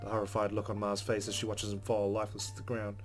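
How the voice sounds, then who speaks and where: Low-voiced man narrating a visual novel. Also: completely flat delivery, fast, soft background music. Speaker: let's player.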